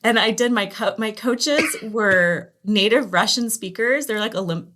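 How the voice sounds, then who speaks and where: A woman's voice: on the high side, a little slow, fairly bright tone. Chatty woman telling stories. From Los Angeles area, United States. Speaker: podcast guest. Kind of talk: casual conversational storytelling.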